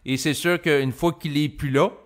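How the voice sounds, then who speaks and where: A man from Quebec with a Québécois French accent, speaking an unscripted reflective monologue to listeners in a halting, roundabout way with many hesitations. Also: a little high, fairly even intonation, fairly bright tone. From Quebec, Canada. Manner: halting, roundabout, with frequent hesitations and long lead-ins. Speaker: host of spiritual self-help recordings.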